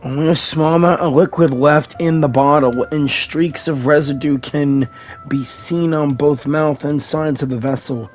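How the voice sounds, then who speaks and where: A man's voice: fairly high pitch, fairly even intonation, unhurried, faint music behind. Man reading game dialogue aloud.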